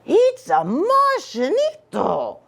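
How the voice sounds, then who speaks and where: A woman voicing an excitable little kitty boy who plays an astronaut Chihuahua, with drawn-out exclamations, for a children's storybook. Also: very high-pitched, vocal fry, voice pushed up, full character voice. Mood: amazed, excited.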